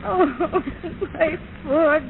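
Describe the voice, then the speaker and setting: An actress playing a distraught, exhausted lady of the house, halting and melodramatic, in a 1930s radio drama. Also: very high voice, gravelly, full character voice. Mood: anguished, weary. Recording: noisy, tinny 1930s radio recording.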